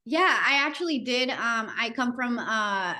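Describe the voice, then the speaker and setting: A woman's voice: fairly high pitch, lively intonation, a little slow, pushed and bright. A woman in her thirties from Costa Rica and Nicaragua, a podcast guest telling her story with frequent fillers. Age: 30s. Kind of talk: interview conversation.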